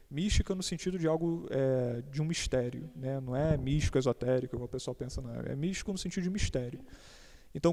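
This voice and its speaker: A man's voice: fairly high pitch, creaky, dark tone. A Brazilian man explaining a church topic in a conversational, hesitant way, often repeating words and adding casual asides. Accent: Brazilian Portuguese. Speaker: church teacher on a panel. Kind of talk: conversational teaching. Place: church hall. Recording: long hall reverb.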